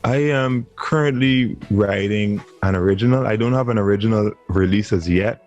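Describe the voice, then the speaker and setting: A man's voice: on the low side, normal speed, a little mellow, muffled recording, faint music behind. A man in his twenties with a Bahamian accent, talking earnestly as a guest on a video-call interview. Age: 20s. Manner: earnest, laughs easily. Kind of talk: casual interview chat.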